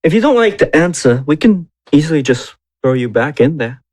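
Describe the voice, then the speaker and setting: A man's voice: a little high, very animated, fairly quick, a little mellow. A man playing a tabletop role-playing game, trading casual in-character banter with the group.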